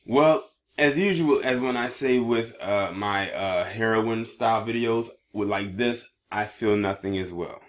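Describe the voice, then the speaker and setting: A man with a fairly low voice delivers online news commentary. He moves between reading written reports and speaking his own take, and he sometimes trips over words as he reads. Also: unhurried.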